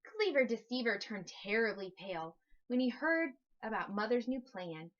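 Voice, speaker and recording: A woman reading a rhyming children's story aloud in an expressive narrating style. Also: on the high side, moderate pace, muffled recording, slight background noise. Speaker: storybook reader.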